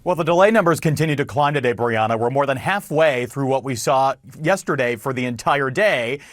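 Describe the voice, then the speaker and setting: A man's voice: medium pitch, expressive, brisk, no echo. An American man reporting as a television news correspondent in a broadcast style.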